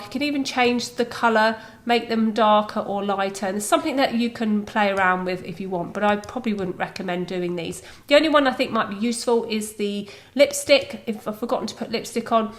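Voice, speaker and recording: A woman presenting a video software tutorial, explaining step by step in an informal, conversational way. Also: mid-range voice.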